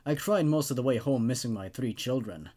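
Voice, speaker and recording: A man's voice: medium pitch, lively intonation, a little fast, warm tone. A man hosting horror story readings, moving between narration and informal, casual commentary. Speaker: host narrating horror stories.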